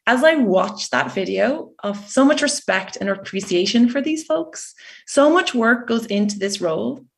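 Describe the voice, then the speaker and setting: A woman's voice: on the high side, lively intonation, normal speed, creaky, recorded from a distance. A woman hosting an online festival opening, speaking earnestly and appreciatively with a few hesitations. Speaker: festival host. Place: home.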